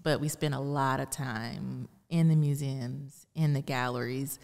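A woman's voice: very deep voice, fairly even intonation, a little slow, gravelly, a little mellow. A middle-aged American woman from Texas giving casual conversational answers as an interview guest. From Houston, Texas, United States. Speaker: art curator, interview guest. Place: indoor art gallery. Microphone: close podcast mic on boom arm, headphones. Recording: reverberant gallery room.

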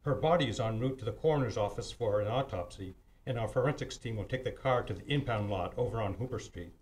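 An actor playing a teasing, cocksure police homicide detective in an old-time radio mystery, with smug, clipped delivery. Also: male voice, fairly low pitch, quick pace, a little mellow, breathy edge. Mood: matter-of-fact. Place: theatre stage, live performance. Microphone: stand mic on stage.

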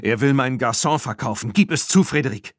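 A man's voice: medium pitch, expressive, brisk, no room sound, pitched above the performer's own voice, close to an everyday voice. A man plays an outspoken young girl in a storybook, lifting the voice well up. She is indignant and accusing, pushing her words out emphatically in a dry recording. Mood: angry, accusing.

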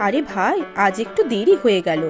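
A woman voicing a sharp-tongued, bossy but capable village wife in a cartoon, scolding and sarcastic. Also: medium pitch, big pitch swings, brisk, close to an everyday voice. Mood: exasperated. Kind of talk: animated cartoon dialogue. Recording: music behind the voice.

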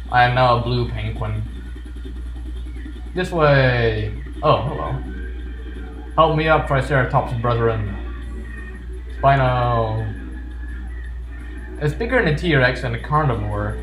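A man's voice: medium pitch, slow pace, pauses often, a bit bright. Playful man commentating in an echoey room. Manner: playful, jokey, talking to game characters. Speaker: gaming video host. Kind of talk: casual gameplay commentary. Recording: roomy echo with game music behind. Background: video game music.

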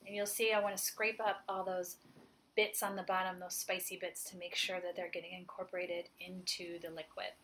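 A woman's voice: on the low side, little pitch movement, brisk, a bit bright, a little hiss or hum. A woman in her forties, a chef, giving a friendly, upbeat cooking demonstration and explaining each step plainly. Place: home kitchen, indoors.

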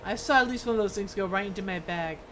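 A woman's voice: medium pitch, quick pace, a bit bright, a little hiss or hum. A woman streamer giving casual, chatty live commentary on a video game, reacting aloud and reading bits of quest text.

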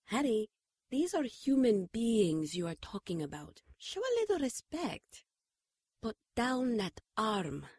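An actress playing a solemn, stern, mystical woman in a radio drama, speaking in a formal, measured way. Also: on the high side, very animated, darkish tone, slightly rough, no room sound, studio quality, full character voice. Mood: reproving. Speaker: radio drama actor and narrator. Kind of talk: radio drama narration and dialogue.

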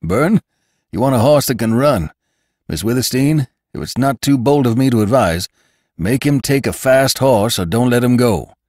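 A man's voice: medium pitch, lively intonation, moderate pace, darkish tone, no room sound, studio quality, pitched above the performer's own voice, lightly acted. A man voicing a loyal, earnest cowboy rider in a western audiobook, with plainspoken, folksy phrasing. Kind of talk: audiobook character acting.

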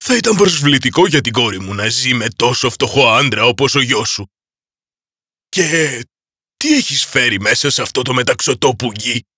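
An actor playing a proud old Sultan, regal and pompous, in an animated fairy tale. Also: male voice, mid-range voice, slightly rough, dry recording, clean studio sound. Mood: haughty.

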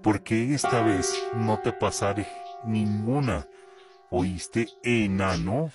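A man playing a threatening, contemptuous puppet brother with a dark side in a fandub drama. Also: fairly low pitch, slow. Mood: menacing. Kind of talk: amateur Spanish fandub roleplay acting. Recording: very loud music over the voice.

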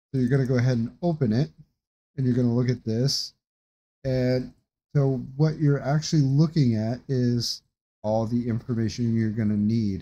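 A man in his thirties giving a casual, rambling, halting tutorial from his desk at home. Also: mid-range voice, warm tone, highs cut off. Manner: rambling, halting, informal explanations. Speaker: tutorial host. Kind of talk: casual screen-share tutorial. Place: small room indoors at a desk.

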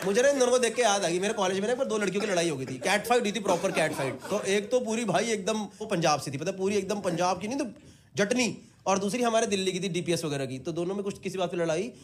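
A man's voice: high voice, animated, fast talker. A man in his thirties doing teasing, conversational stand-up crowd work on a handheld mic in a small club. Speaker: stand-up comedian. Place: small indoor comedy club on stage. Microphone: handheld microphone. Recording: very noisy live club recording. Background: room ambience, audience laughter.

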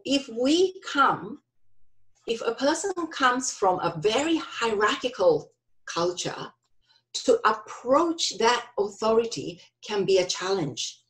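Slow-spoken Japanese-accented woman. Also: on the high side, animated, low-quality recording. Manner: unhurried, careful phrasing with frequent ums and restarts. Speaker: workshop presenter. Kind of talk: online workshop talk with slides. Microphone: video call.

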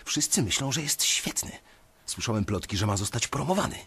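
A man's voice: medium pitch, lively intonation, a little fast, sharp tone, breathy edge, heavily acted. An actor playing a resentful young investment banker who tells his story bitterly in a radio drama. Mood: frustrated.

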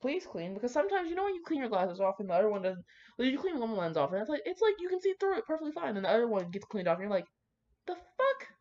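A woman's voice: fairly high pitch, very animated, fast talker, a little mellow. A woman reading aloud casually with chatty asides, irreverent, slangy and sweary in her manner. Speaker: host reading fan fiction aloud. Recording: dull, lo-fi recording.

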